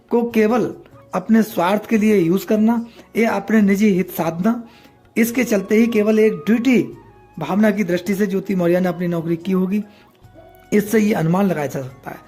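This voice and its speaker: Mellow-voiced man commentating over music. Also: sits high. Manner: moralizing, rhetorical questions. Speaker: online news commentator. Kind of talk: opinionated news commentary addressed to viewers. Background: background music.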